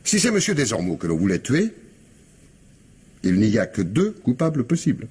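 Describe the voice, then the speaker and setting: An actor playing a shrewd, methodical police commissioner in a French radio crime drama, with a husky voice and an insistent manner. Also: male voice, medium pitch, wildly swooping pitch, normal speed, a little mellow, exaggerated voice. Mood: insistent, accusing. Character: shrewd, relentless male police commissioner making accusations. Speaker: actor in an audio mystery play.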